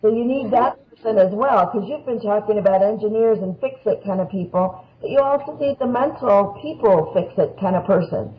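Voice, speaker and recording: A man's voice: high-pitched, moderate pace, hardly any pauses, slight background noise. A man speaking as a panel guest in a casual discussion, explaining things through anecdotes. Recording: dull lo-fi audio.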